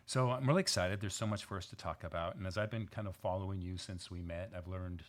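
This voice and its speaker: A man's voice: low-pitched, fast talker. A man hosting an interview podcast, speaking as the presenter in a studio. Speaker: podcast host.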